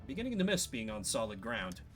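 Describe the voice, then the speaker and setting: A man plays a young exile companion from a fantasy game, kindly and upbeat. He talks casually and easily, with animated intonation. Faint music plays underneath. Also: medium pitch, fairly quick, voice pushed down. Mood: easygoing, grateful. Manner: casual, friendly phrasing.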